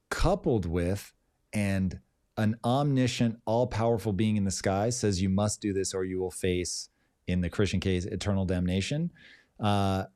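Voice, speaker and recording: Male podcast host, deep dark voice, plainspoken and emphatic, swears freely. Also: dry recording, clean studio sound. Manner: blunt, swears casually, drawn-out emphatic points. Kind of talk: casual live-stream talk.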